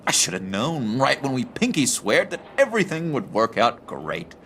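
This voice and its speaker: A man playing a needy, unhinged misfit in a video game, gushing and halting as he pleads for friendship. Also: medium pitch, sing-song, a little hiss or hum. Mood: giddy, gushing. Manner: gushing, halting, giggly, swinging between chirpy and hushed.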